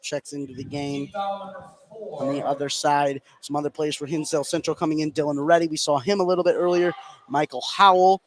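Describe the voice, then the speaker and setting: A man's voice: fairly high pitch, fairly quick. An American man giving live play-by-play radio commentary on a basketball game. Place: indoor high school gym during a game. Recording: clear background gym noise.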